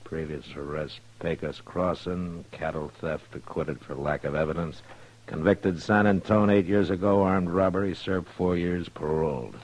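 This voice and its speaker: Man playing a deep-voiced, weary frontier marshal. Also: fairly even intonation, darkish tone, close to an everyday voice. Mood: businesslike. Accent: American. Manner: terse, measured, gruffly courteous. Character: weary, steady frontier lawman. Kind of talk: old-time radio drama acting. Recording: noisy old radio recording.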